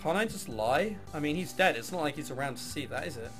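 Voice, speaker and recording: A man's voice: on the high side, expressive, fast, a bit bright, soft background music, pitched below the performer's own voice. Man reading as a sarcastic, apathetic young heiress. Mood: wry narration. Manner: sarcastic, bored, crass. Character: jaded, apathetic young woman, reluctant orchestra heiress.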